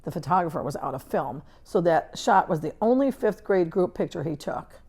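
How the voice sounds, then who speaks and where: Female storybook narrator, lower register with a creaky edge, reading aloud for children. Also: lively intonation, moderate pace, a little mellow. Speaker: reader. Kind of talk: children's book read-aloud, scripted narration.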